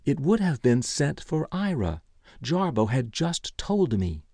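A man voicing a guarded, forthright woman in an audiobook, measured and curt. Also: medium pitch, very animated, dark tone, dry recording, voice pushed up. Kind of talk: audiobook character voice.

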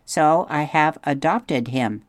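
A reader voicing a polite female robot who has adopted a gosling, speaking in a measured, formal way without contractions. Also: medium pitch, no room sound, voice pushed up, close to an everyday voice. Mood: calm.

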